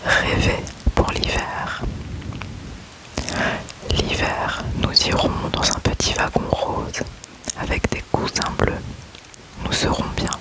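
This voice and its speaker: Slow whispering man reading poems. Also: poor audio. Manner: slow, soft unvoiced whisper throughout. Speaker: ASMR creator. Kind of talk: whispered ASMR poetry reading.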